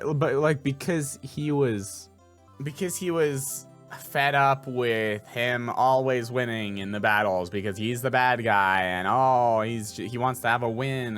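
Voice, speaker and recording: A man voicing an earnest, flustered young defense lawyer in a video game, expressive and sometimes exasperated. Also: mid-range voice, measured pace, soft background music, pitched below the performer's own voice, lightly acted. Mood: explaining.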